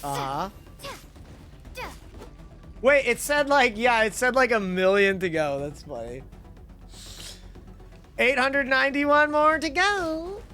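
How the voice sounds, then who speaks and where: Bright, animated young male streamer. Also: extremely high pitch, slow, pauses often. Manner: slangy, short offhand reactions. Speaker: game streamer. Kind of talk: casual live gameplay reaction commentary. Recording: game music behind the voice. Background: game music.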